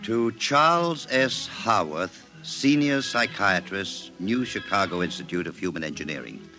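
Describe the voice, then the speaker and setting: An actor playing a composed, authoritative psychiatrist with a formal, probing manner in a 1950s radio drama. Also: male voice, low-pitched, lively intonation, over music. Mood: clinical, official. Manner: formal, measured dictation, probing. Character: clinical, authoritative male senior psychiatrist, composed.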